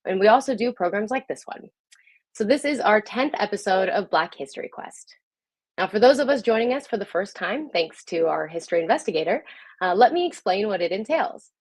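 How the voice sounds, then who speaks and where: A woman's voice: mid-range voice, no echo, low-quality recording. Woman hosting, with close, dry sound. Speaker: host of a history livestream show. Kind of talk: scripted show introduction and interview.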